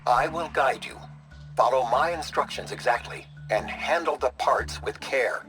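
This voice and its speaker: A man's voice: mid-range voice, a little slow, fairly bright tone, faint music behind, close to an everyday voice. A man playing a precise, aloof guide in a video game. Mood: instructive. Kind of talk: video game cutscene acting.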